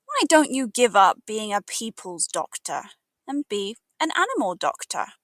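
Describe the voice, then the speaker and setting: A woman's voice: medium pitch, huge pitch swings, measured pace, fairly bright tone, no echo. A British woman voicing a friendly cat's meat man in a storybook, earnest and persuasive as he gives plain advice. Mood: earnest suggesting.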